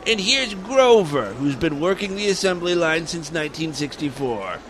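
Man as a high-pitched, exasperated tour guide. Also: animated, moderate pace, a bit bright, slight background noise. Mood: presenting, polite.

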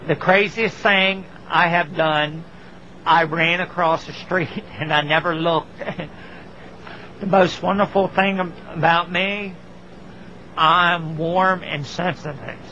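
A man speaking in a video personal ad, halting and hesitant, with long pauses between words. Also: fairly high pitch, fairly even intonation, bright, forceful tone, a little hiss or hum. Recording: dull lo-fi archive video clip.

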